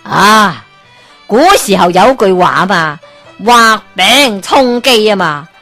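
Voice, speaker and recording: A woman's voice: mid-range voice, animated, takes it slowly, pushed and bright, faint music behind, exaggerated voice. A woman voicing a greedy, eccentric old man in a radio storybook, with a Hong Kong Cantonese accent. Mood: smug. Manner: rambling, greedy, then smug and satisfied.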